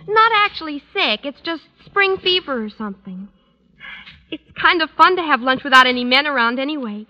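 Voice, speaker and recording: A girlish teen schoolgirl, really high voice, gushy and a bit superior, dull old radio comedy sound. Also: lively intonation, normal speed. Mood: chatty. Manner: girlish, gushing, coyly superior. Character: prim, self-assured teenage high school girl. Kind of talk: 1940s-50s radio sitcom acting. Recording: muffled old radio recording.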